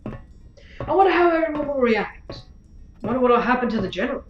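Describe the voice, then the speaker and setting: A woman's voice: sits high, lively intonation, brisk. A woman playing a blunt, flippant, fatalistic companion in an audio drama, talking casually with frequent tag questions. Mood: curious, flippant. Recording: roomy, dull sound with faint music.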